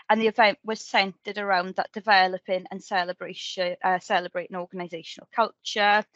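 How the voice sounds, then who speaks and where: A woman's voice: mid-range voice, fairly bright tone, no echo. Female presenter, informal and upbeat recap style, emphatic delivery; dry, slightly lo-fi sound. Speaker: committee member presenting at an annual meeting.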